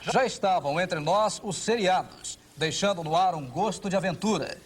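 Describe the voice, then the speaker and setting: A man's voice: high voice, normal speed, heavy background noise. A man with a Brazilian accent reading scripted, poetic documentary narration.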